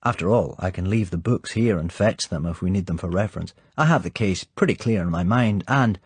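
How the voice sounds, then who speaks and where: A man playing a polite, chummy English gentleman spy in an audiobook, affable and casual. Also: low-pitched, a little fast, breathy edge, dry recording.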